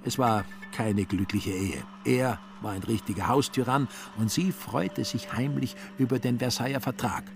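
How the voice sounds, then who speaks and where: A male narrator tells a literary story for a radio play. His voice sits at a medium pitch, and his scripted delivery is dry and ironic. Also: normal speed, few pauses, slight background noise.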